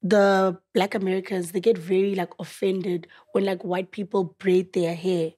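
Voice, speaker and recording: A woman's voice: mid-range voice, level tone, moderate pace, mellow, breathy edge. A woman in her early twenties with a South African accent, co-hosting a podcast and asking chatty, playful questions on a studio mic. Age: 20s. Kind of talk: casual chat. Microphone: studio mic on boom arm.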